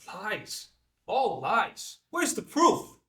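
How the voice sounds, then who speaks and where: A man's voice: high-pitched, huge pitch swings, drawn-out words, pushed and bright, recorded from a distance, exaggerated voice. An actor playing a charismatic, easily affronted mountaineer author in his late thirties, with an earnest, theatrical delivery at a script read. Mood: outraged. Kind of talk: film script table read. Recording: roomy, off-mic table-read recording.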